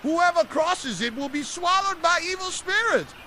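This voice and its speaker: A man's voice: very high-pitched, lively intonation, normal speed, sharp tone, slight background noise, pitched above the performer's own voice, heavily acted. A man voicing a clever little male mouse deer in a storybook, speaking in a solemn warning tone.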